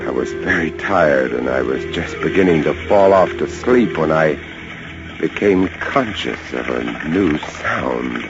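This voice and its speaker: An actor playing a lighthouse keeper, narrating a horror tale with dramatic, breathless intensity and hesitant stammers in old-time radio style. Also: male voice, very deep voice, animated, measured pace, heavily acted. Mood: hushed dread. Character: male lighthouse keeper recounting a terrifying rat siege. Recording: dull old radio recording under loud music.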